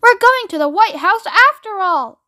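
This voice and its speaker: A woman voicing a jubilant, excitable old grandfather in a children's storybook, with whooping singsong exclamations. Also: extremely high pitch, measured pace, pitched above the performer's own voice, full character voice. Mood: overjoyed. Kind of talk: children's storybook read aloud.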